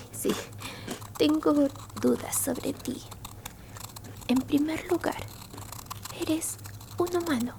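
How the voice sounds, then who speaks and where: Woman as elderly alien grandma, ASMR roleplay, creaky breathy whisper, fairly high pitch, gentle, consoling, bookish, faint noise behind. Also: exaggerated voice. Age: older. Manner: slow, soothing whispering with soft murmured hums and pauses. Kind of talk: Spanish-language ASMR roleplay, mostly whispered.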